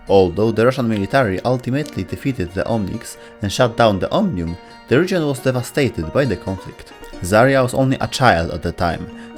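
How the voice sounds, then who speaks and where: A man with a fairly low voice narrates a scripted explainer. His intonation moves around in a lively way, and music plays faintly behind him. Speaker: narrator of a game lore explainer video.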